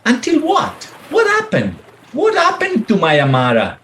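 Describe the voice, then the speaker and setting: A man playing a melodramatic philandering husband in a radio play, pleading and lamenting haltingly. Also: extremely high pitch, huge pitch swings, fairly bright tone, heavily acted. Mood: distraught. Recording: roomy, faint background noise.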